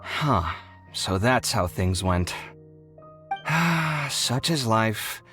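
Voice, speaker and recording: A man's voice: lowish voice, lively intonation, extremely slow, over music. An actor playing a flustered, dramatic, sensitive young man in a video game, exclaiming theatrically. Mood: awkward, resigned.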